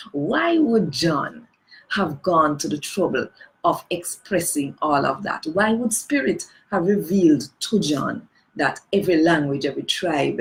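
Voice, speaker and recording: Slow, gravelly low-voiced Jamaican woman. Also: poor audio. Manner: earnest, emphatic, rising on key phrases, rhetorical questions. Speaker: worship leader. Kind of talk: livestreamed spoken reflection on worship. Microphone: phone or webcam on a live stream.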